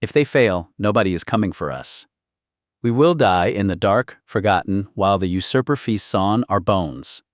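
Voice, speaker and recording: A man voicing a cold, calculating young prince in an audiobook, intense and measured. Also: lowish voice, animated, dry recording, studio quality, natural voice. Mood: cold, urgent. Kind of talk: audiobook character dialogue.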